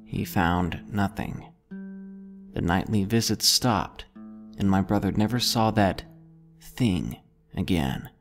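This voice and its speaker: A man's voice: on the low side, very animated, takes it slowly, pauses often, soft background music. A man narrating a scripted first-person ghost story as a horror storyteller.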